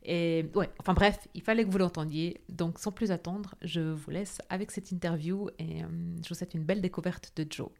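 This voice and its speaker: A woman's voice: fairly low pitch, fairly even intonation, normal speed, hardly any pauses, a little mellow. A woman hosting a podcast, reading a scripted intro and then speaking hesitantly with many fillers. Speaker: podcast host.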